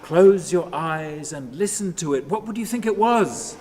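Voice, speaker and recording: Brooding, troubled man, fairly high-pitched. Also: lively intonation, a little mellow, a little hiss or hum. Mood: haunted, brooding. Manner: halting fragments, repetitive, brooding. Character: troubled, lonely man obsessively telling himself stories. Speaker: actor in a radio play. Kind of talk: dramatic radio play monologue.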